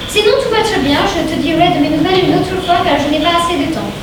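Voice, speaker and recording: A woman's voice: very high voice, fast. A woman acting an English teenage girl who loves music, talking in a breezy, chatty way. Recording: echoey hall from far off, noisy.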